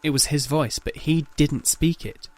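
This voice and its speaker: A man giving a measured, literary narration of a scripted dramatic story. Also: a little high, faint music behind.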